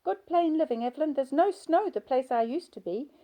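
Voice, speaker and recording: A woman's voice: high voice, lively intonation, brisk, dark tone, pitched above the performer's own voice. A woman voicing a middle-aged hospital patient who talks in a whiny, childish, pleading way. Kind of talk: audiobook character voice.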